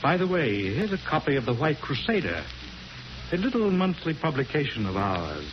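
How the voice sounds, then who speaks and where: An actor playing a smooth, self-important bigoted colonel in a 1940s radio drama, formal and oily. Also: male voice, fairly high pitch, very animated, normal speed. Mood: smugly persuasive. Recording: muffled, noisy 1940s radio broadcast.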